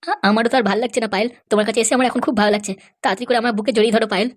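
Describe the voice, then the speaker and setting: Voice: medium pitch, fairly even intonation, rapid-fire, lightly acted. An actor voicing an eager young man in love, gushing and placating his sweetheart in a comedy cartoon. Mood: adoring.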